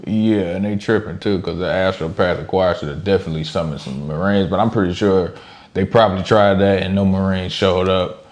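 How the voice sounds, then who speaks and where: A man with a very low voice gives casual commentary as a reaction co-host. He talks informally with slang, thinking aloud as he makes his points. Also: steady tone, brisk, darkish tone. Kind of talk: casual chat, off-the-cuff commentary.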